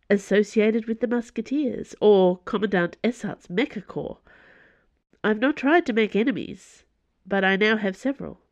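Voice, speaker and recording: A nervous but defiant young female pilot, voiced by a woman narrator for an audiobook. Her speech is earnest, halting and formally polite, with very lively intonation. The sound is a little dull. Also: on the high side, no room sound, pitched above the performer's own voice. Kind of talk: audiobook character voice.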